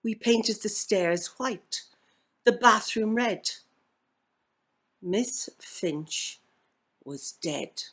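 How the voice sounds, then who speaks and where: An Irish woman reading a poem as an actor would, with measured, deliberate and theatrical phrasing. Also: mid-range voice, animated, a bit bright. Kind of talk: dramatic poetry reading.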